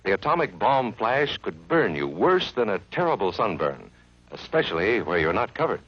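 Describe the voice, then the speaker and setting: A man's voice: on the low side, big pitch swings, moderate pace, highs cut off. A man narrating an educational film for children in an earnest, friendly, instructive way, from an old 1950s soundtrack.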